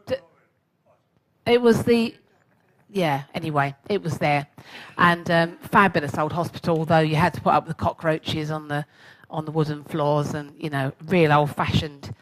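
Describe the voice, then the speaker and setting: A woman's voice: fairly low pitch, low-quality recording. A woman in her sixties with a London accent gives a chatty, self-mocking talk to a live audience and asks them questions. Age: 60s. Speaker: guest speaker.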